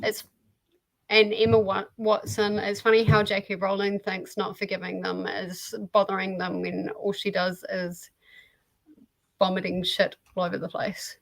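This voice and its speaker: A woman's voice: medium pitch, level tone, unhurried, creaky, low-quality recording. A woman hosting a livestream, chatting casually. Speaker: host of a livestream.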